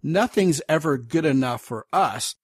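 Husky, dry-voiced male podcast host. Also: fairly high pitch, measured pace, no echo. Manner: wordy, animated, drifts into acting out quotes. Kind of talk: conversational interview.

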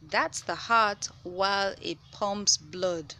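A woman reading a children's science picture book aloud, with measured, clear phrasing for young listeners. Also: medium pitch, pushed and bright. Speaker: children's read-aloud host. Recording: noticeable background noise.

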